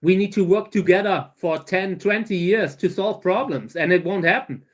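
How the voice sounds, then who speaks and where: A German man in his thirties with a German accent, answering viewer questions on a casual livestream, halting and restarting his sentences often. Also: high voice. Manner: halting, many restarts and fillers. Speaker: livestream host.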